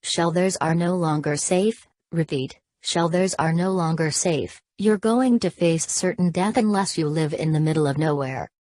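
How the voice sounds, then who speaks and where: A woman's voice: deep voice, normal speed, hardly any pauses, dark tone, no echo, studio quality, natural voice. A woman voicing a formal emergency alert announcer in a scripted broadcast, with a stilted, synthetic-sounding delivery. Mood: formal, grave.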